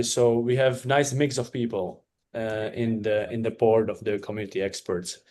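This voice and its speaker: A man's voice: medium pitch, a little mellow. A man presenting on a webinar as a community founder, talking with frequent hesitations and restarts.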